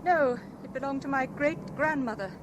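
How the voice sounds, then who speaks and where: Female actor, troubled young British woman, brooding and wistful, fairly high pitch, 1970s radio drama style. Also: normal speed, background noise, lightly acted. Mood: wistful, evasive.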